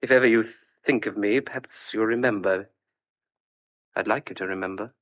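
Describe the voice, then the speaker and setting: A man's voice: fairly low pitch, normal speed, close to an everyday voice. An actor playing a desperate, stubborn but tender man on the run, speaking earnestly and hesitantly in old-fashioned clipped phrases in a radio thriller. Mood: tender, resigned. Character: desperate fugitive man wrongly suspected of murder, stubborn, tender. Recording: dull lo-fi old radio drama recording.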